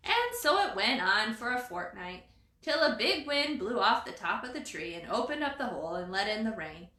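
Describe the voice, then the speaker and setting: A woman reads a children's story with dramatic expression. Her voice is fairly high and bright, swinging widely in pitch. The recording has a roomy echo. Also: big pitch swings, moderate pace.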